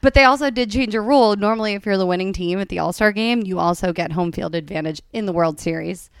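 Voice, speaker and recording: A woman's voice: medium pitch, quick pace, smooth, no echo, studio quality. A young woman hosting a casual chat show, playful and giggly as she talks with her guest. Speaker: podcast host.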